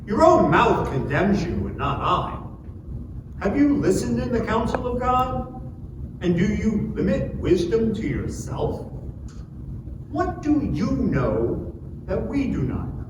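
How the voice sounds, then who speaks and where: A man's voice: high-pitched, big pitch swings, slow pace, long pauses, exaggerated voice. A man playing an indignant, pious friend, accusing in a declamatory dramatic scripture reading. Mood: indignant accusation. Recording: distant, echoey, noisy live room.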